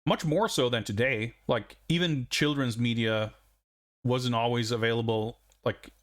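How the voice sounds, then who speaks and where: A man's voice: mid-range voice, unhurried. A man in his forties from Sweden, a native Swedish speaker, chatting casually on a game stream in a rambling, reflective way, chuckling often. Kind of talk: casual live-stream chat.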